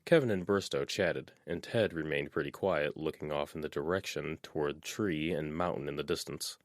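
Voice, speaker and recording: Deep-voiced expressive male narrator. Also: moderate pace, dry recording, studio quality. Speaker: audiobook reader. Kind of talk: fan audiobook narration.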